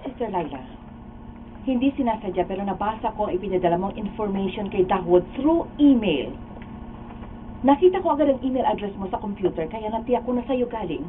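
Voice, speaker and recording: A woman's voice: medium pitch, animated, fairly quick, lightly acted. A woman playing an earnest, inquisitive friend with an emphatic, probing delivery in a radio drama. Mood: confrontational. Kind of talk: radio drama acting in Tagalog. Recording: noisy, narrow-band, distant behind-the-scenes camera audio.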